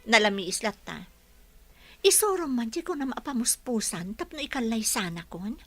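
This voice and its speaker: A woman's voice: on the high side, very animated, normal speed, a bit bright, full character voice. A woman playing a discontented woman confiding in a friend in a radio drama.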